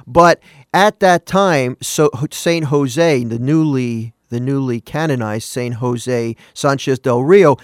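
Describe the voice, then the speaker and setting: A man in a middle register talks earnestly into a dry, close studio mic, with almost no room sound. He reflects on faith in a relaxed, conversational way, stopping to correct himself and turning to ask the listener questions. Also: mid-range voice, slow, smooth, no room sound. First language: not Spanish. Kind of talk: morning radio reflection.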